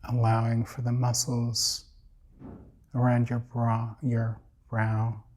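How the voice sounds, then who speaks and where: A man with a slightly creaky voice guiding a body scan meditation in a measured, soothing way with long pauses. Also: mid-range voice, completely flat delivery, a little mellow, slight background noise. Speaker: meditation teacher.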